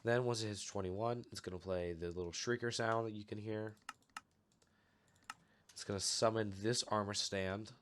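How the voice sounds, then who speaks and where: A young man recovering from a cold, calmly walking through a step-by-step game tutorial with a slightly off voice. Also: lowish voice, moderate pace, slight background noise. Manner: relaxed, explanatory, steady walkthrough with fillers. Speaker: channel host giving a game tutorial. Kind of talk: casual screen-recorded tutorial.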